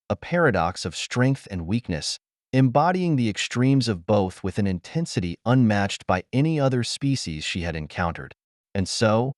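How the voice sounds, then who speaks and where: A man reading scripted science fiction as a narrator, in a measured, dramatic storytelling delivery. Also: mid-range voice, no room sound, studio quality.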